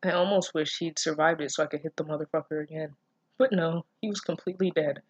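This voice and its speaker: A person reading fan fiction aloud casually, breaking off for expressive, incredulous asides full of swearing. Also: mid-range voice, quick pace, dry recording, rough recording. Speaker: online reader reacting to fan fiction. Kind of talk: casual read-aloud with running commentary.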